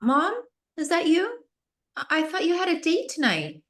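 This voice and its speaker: A woman acts out an anxious young pageant contestant for a script read. Her voice is very high and highly animated, with big swings in pitch. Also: normal speed, exaggerated voice. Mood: nervous. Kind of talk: screenplay table read acting.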